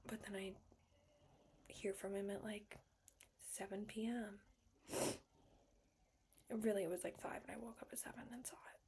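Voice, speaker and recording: A young woman speaks in a soft, hushed whisper, her voice staying level with little rise and fall. She tells a personal story casually and bluntly, and swears freely. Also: mid-range voice, fairly even intonation, moderate pace, pauses often, a little hiss or hum. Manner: hushed whispering, frank and profane.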